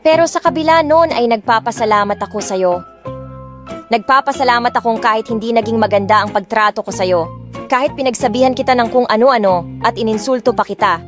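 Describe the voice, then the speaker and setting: A young woman narrating a first-person romance audiobook, expressive and switching between two languages. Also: on the high side.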